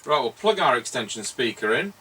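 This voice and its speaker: A man's voice: mid-range voice, sing-song, fairly quick, bright, forceful tone, husky, poor audio. A man chatting casually as he demonstrates a CB radio, using jokey radio slang. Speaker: CB radio tester and presenter.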